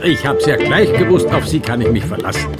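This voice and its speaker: A man's voice: mid-range voice, expressive, fairly quick, lightly acted. An actor playing a fussy, obsessively lovesick male dermatologist in a radio drama. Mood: eager, fussy. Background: loud music with guitar.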